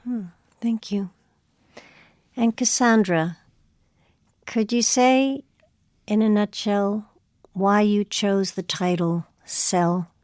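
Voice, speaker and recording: Female interview host: mid-pitched, articulate, measured, reads prepared questions, dry studio-tight sound. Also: fairly even intonation, darkish tone, no echo.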